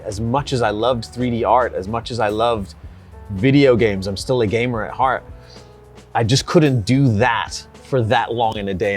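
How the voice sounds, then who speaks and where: A forty-year-old man from Hong Kong, a personal trainer, chatting in an energetic, emphatic way. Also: mid-range voice. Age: 40s. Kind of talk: casual chat.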